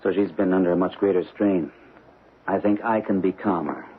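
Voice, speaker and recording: A man's voice: low-pitched, normal speed, narrow, tinny audio, natural voice. An actor playing a protective, plain-spoken husband and father in an old radio drama, matter-of-fact and conversational. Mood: concerned. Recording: band-limited, noisy old radio recording.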